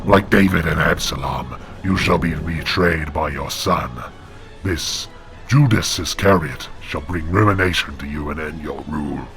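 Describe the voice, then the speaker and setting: A man voicing a wrathful, commanding angel in an audio drama, with a metallic, inhuman, gravelly voice and solemn, archaic prophetic delivery. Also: rumbling low, unhurried, a bit bright, faint music behind, heavily acted. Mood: grave, ominous. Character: wrathful, commanding male angel possessing a man's body. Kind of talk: creepypasta-style audio drama.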